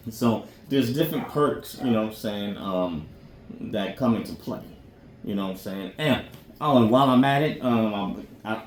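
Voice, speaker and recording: Low-voiced man rambling in an echoey room. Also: a little mellow. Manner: rambling, colloquial, frequently says "you know what I'm saying". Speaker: podcast host. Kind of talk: informal off-the-cuff monologue explaining military careers. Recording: roomy echo, faint background noise.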